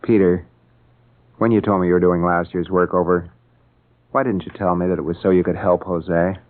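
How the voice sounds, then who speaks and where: A man's voice: low-pitched, little pitch movement, moderate pace, lightly acted. An actor playing a mild, earnest middle-aged father with an American accent in an old-time radio drama. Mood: gentle, thoughtful. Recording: tinny, band-limited old radio broadcast.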